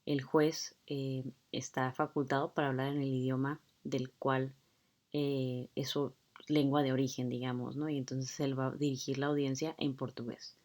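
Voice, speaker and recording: A woman's voice: a bass voice, little pitch movement, normal speed, darkish tone. A woman lecturer explaining a court hearing video to her students in a conversational way, with frequent fillers. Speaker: law lecturer.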